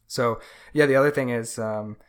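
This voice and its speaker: A man's voice: medium pitch, fairly even intonation, moderate pace. A man co-hosting a podcast, explaining in a casual chat with many fillers. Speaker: podcast co-host.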